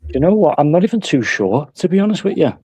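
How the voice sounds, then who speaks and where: A man with a Scouse accent from Liverpool, explaining things in a relaxed casual chat. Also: a little high, fast, breathy edge, dry recording, dull audio. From Liverpool, England. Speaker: guest on a live stream.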